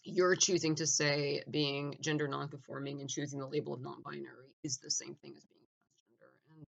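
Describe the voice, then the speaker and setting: A man giving a blunt, argumentative opinion monologue, full of "like" fillers and restarts, clearing his throat now and then. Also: on the high side, little pitch movement, a little fast, fairly bright tone. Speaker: opinion vlogger.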